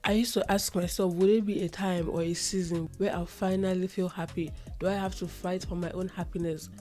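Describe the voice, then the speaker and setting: A woman's voice: on the low side, fairly even intonation, a little fast, warm tone, soft background music. A woman hosting a solo motivational podcast. She sounds slightly nasal because she is getting over a runny nose and an itchy throat, and she talks in an earnest, reflective way, repeating phrases for emphasis. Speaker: podcast host.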